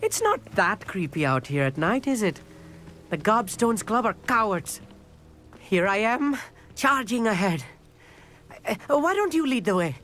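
A man's voice: sits high, very animated, unhurried, pauses often, a bit bright, heavily acted. An actor playing an eager, bookish, nervous teenage boy astronomer in a video game, chatty and slightly formal in manner. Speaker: companion character in a fantasy video game. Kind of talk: scripted game dialogue. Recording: game music under the voice.

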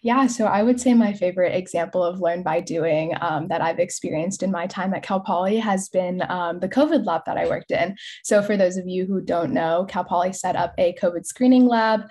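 A woman's voice: lowish voice, moderate pace, few pauses, mellow. A woman of about twenty with a Californian American accent, answering as a student panelist in an informal way. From California, United States. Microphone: video call.

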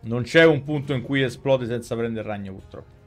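A man's voice: mid-range voice, fairly quick. A man in his thirties with a Roman Italian accent, giving casual, colloquial live gameplay commentary into a headset. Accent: central Italian, Roman. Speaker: video game streamer. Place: indoor room, gaming setup. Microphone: headset microphone. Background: game music.

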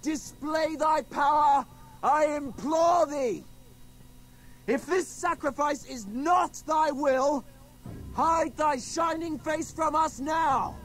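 A man's voice: very high-pitched, slow pace, a bit bright, faint music behind, full character voice, low-quality recording. An actor playing a brave, earnest, courteous young boy adventurer in a radio drama. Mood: fervent, commanding.

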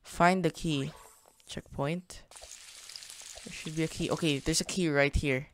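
A man's voice: fairly high pitch, steady tone, measured pace, a bit bright, a little hiss or hum. A young man hosting a gaming channel, casually narrating his play in a chatty, slangy way, thinking aloud as he goes. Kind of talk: casual gameplay commentary.

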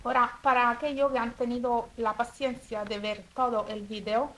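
An Italian woman with an Italian accent speaking Spanish as a second language, demonstrating a recipe step by step at home in a careful, slightly halting way. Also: a little high, steady tone, bright, forceful tone, slight background noise, poor audio. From Italy. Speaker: cooking video host. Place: home kitchen table.

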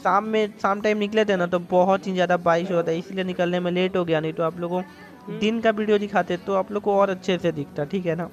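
A man's voice: sits high, steady tone, fairly quick, lo-fi sound, faint music behind. A young man from India vlogging a night out at a restaurant, chatty and enthusiastic, talking straight to his viewers over a phone camera. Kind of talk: casual vlog narration. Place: outdoor restaurant terrace at night.

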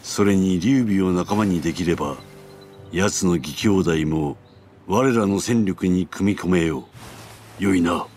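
Male game role: commanding middle-aged ancient Chinese warlord, deep and deliberate, music underneath. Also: a little mellow. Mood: calm, decisive. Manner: measured, imperious, archaic lordly speech. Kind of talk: Japanese video game cutscene acting.

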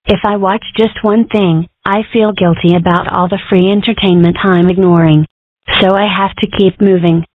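A woman voicing an excitable, guilt-ridden young girl fox in a cartoon comic dub. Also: medium pitch, normal speed, close to an everyday voice. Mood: anxious. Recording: thin, band-limited, phone-like sound.

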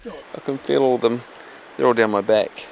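A man hosting a fishing show outdoors, joking and laughing in a cheeky, excitable way. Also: medium pitch, moderate pace, vocal fry, background noise. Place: outdoors in bush and hills. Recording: outdoor clip with loud music over it.

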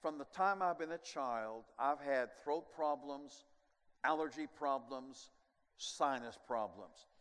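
An older man preaching a sermon as pastor on a large church stage, animated and moving, dropping at times to quiet asides. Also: medium pitch. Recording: long church hall reverb.

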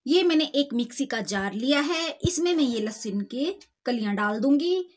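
A woman's voice: sits high, expressive, normal speed. A woman hosting a cooking channel, plainly explaining each step of a recipe as she cooks in her home kitchen. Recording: clear kitchen cooking noise. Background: sizzling and stirring in a pan.

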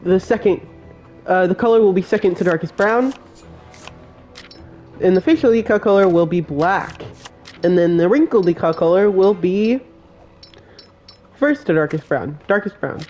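A man's voice: sits high, darkish tone, faint music behind. A man with a cold and a congested voice, hosting a step-by-step game build tutorial as a voice-over. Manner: slow, plain instruction-giving voice-over.

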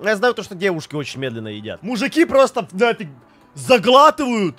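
A young man with a stuffy, nasal voice, chatting animatedly to his stream chat from a home setup on a boom mic. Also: a little fast, no room sound. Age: young adult. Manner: animated, gestures a lot, swears casually. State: stuffy nose. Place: small home streaming room. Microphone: studio mic on boom arm.

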